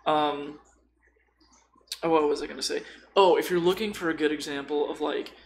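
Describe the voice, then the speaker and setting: Higher-pitched man with a gravelly creak. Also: fairly even intonation, normal speed, slight background noise. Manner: informal, rambling, peppered with swearing. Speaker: podcast co-speaker. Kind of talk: casual podcast chat about tabletop role-playing.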